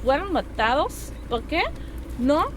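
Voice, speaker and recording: A woman's voice: high-pitched, lively intonation, slow. A woman, not a native speaker of the language, guiding a group at a memorial site with many hesitant fillers and questions to the group. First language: not Spanish. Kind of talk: guided tour talk. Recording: noisy outdoor field recording.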